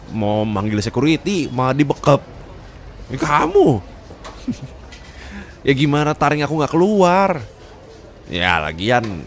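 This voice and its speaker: A man's voice: a little high, slight background noise. A man playing a stern, haughty young boss who also teases as a boyfriend, in an Indonesian ASMR roleplay.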